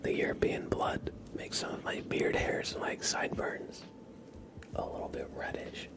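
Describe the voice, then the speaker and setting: A man hosting a livestream, whispering ASMR-style into a close microphone as he reads out and thanks his chat. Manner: soft, slow whispering with light chuckles. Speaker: livestream host. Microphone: close microphone picking up mouth sounds.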